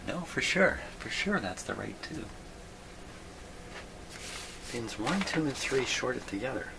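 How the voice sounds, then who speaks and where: A man thinking aloud in an unscripted workbench video, halting and puzzled, muttering to himself in a self-deprecating way. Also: mid-range voice, big pitch swings. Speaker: host of a radio repair video. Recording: clearly noisy hobby video recording.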